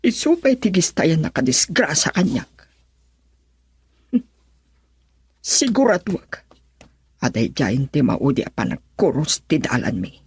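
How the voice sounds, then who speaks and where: A woman's voice: low-pitched, sing-song, moderate pace, a little mellow, slightly rough, no echo, heavily acted. An actress playing a proud, guarded young woman in an Ilocano radio drama. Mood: brooding.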